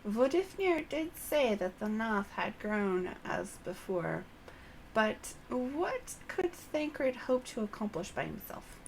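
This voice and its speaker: Over faint background noise, a woman reads the part of an earnest young nobleman in a fantasy game. The delivery is polite and formal, slow, and pitched fairly high. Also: big pitch swings, gravelly, full character voice, poor audio. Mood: concerned, courteous. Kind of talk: game dialogue read aloud.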